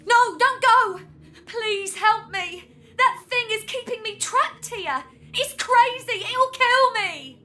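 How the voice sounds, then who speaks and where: A woman's voice: on the high side, measured pace. A woman playing a dramatic, genteel courtesan and damsel in a video game, with a theatrical, mannered delivery. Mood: panicked, pleading. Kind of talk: video game character acting. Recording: reverberant hall sound, music underneath.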